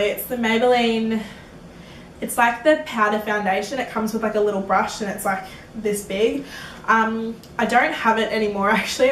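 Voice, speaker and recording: A woman's voice: medium pitch, fairly bright tone, roomy sound, recorded from a distance, slight background noise, poor audio. An eighteen-year-old woman answering viewer questions in a casual beauty vlog, chatty and giggly, with lots of ums and likes. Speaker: beauty vlogger.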